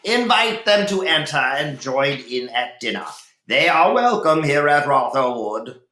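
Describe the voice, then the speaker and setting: A man's voice: fairly high pitch, very animated, measured pace, pushed and bright, echoey room, voice pushed up, heavily acted. A man voicing a proud, disdainful Saxon lord in a storybook read-aloud, declaiming grudgingly. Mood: formal welcome. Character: gruff, proud Saxon lord, disdainful yet dutifully hospitable.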